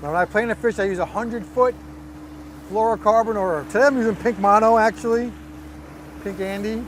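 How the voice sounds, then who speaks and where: A man's voice: high-pitched, steady tone, fairly quick, a little hiss or hum. A man co-hosting a fishing vlog outdoors on a boat, explaining his gear in a laid-back, step-by-step way. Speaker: fishing partner, co-host. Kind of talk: casual explaining while rigging gear.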